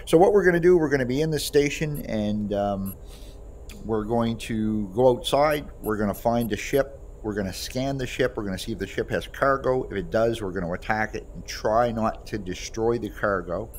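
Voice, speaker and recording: Guy with a low voice explaining his game as he plays, noisy recording. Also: moderate pace. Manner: unscripted, explanatory. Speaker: gamer demonstrating a voice pack. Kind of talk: casual gameplay commentary.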